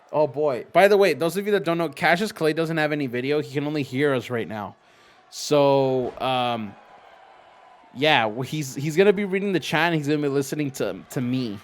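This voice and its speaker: A young man hosting a game stream, chatting casually and commenting on the play in a slangy way that trails off into quick restarts. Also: mid-range voice. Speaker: streamer host.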